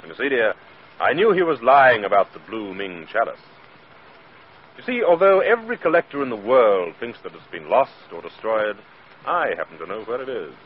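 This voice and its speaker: Affectionate, assured male sleuth , actor, 1940s radio play, medium pitch with lively swings, dull old recording. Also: normal speed, close to an everyday voice. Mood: cheerful, assured. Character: suave, cheerful gentleman sleuth, affectionate and self-assured. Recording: muffled, faintly noisy old radio.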